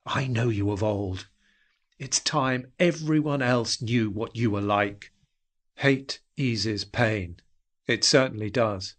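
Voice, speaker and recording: Man acting a bitter, seething man, slow and muttering. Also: fairly low pitch, a bit bright. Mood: seething hatred. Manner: muttering, fuming.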